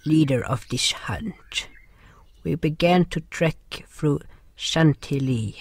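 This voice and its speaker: A man playing a gentle nonhuman swamp creature who narrates a fantasy audio drama haltingly, in broken grammar with dropped articles. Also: on the high side, no room sound, exaggerated voice. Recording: music behind the voice.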